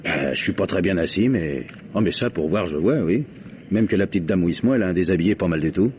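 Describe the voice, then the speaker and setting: A man's voice: deep voice, animated, fast talker, darkish tone. A man playing a cheeky, grumbling police subordinate in a 1950s French radio drama, talking in a slangy drawl. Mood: watchful. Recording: dull, faintly noisy vintage radio recording.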